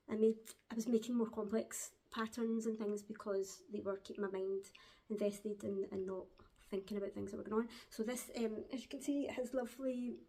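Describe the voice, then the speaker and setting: Glaswegian woman host, casual and hesitant, mid-pitched, laughs easily between thoughts. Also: vocal fry, warm tone, low-quality recording. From Glasgow, Scotland. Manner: chatty, hesitant with frequent ums, laughs often. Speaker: crafting podcast host. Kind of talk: casual chat to camera. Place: at home, seated with a dog on her lap.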